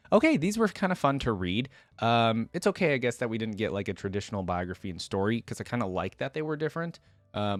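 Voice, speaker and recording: A man doing casual reaction commentary as a video host, reading aloud and thinking out loud in a conversational way. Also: fairly low pitch, no echo.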